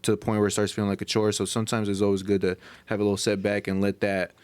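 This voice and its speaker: A man's voice: fairly low pitch, monotone, racing, darkish tone, clear, resonant tone. An American man in casual podcast chat, slangy and swearing freely. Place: small room with couches, indoors.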